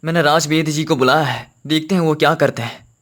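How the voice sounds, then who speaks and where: A man's voice: fairly high pitch, steady tone, a little fast, a bit bright, close to an everyday voice. A man voicing a devoted, earnest king in a cartoon, with formal, regal speech. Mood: earnest, concerned. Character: devoted, earnest king, husband and worried father.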